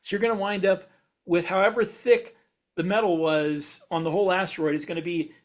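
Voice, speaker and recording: High-pitched man explaining things casually. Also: fairly even intonation, a little fast. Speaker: podcast co-host. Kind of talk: casual speculative discussion, explanatory.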